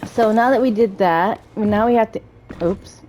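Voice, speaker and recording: A woman's voice: medium pitch, measured pace, a little mellow, faint music behind, poor audio. A woman casually commentating a video game as its host, chatting and thinking aloud and sometimes reading the on-screen text.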